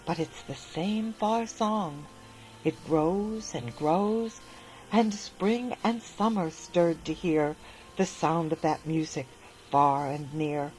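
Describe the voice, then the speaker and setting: A woman reading a rhyming children's story aloud in a gentle, sing-song manner. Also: sits low, slow, a little hiss or hum, rough recording. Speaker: narrator.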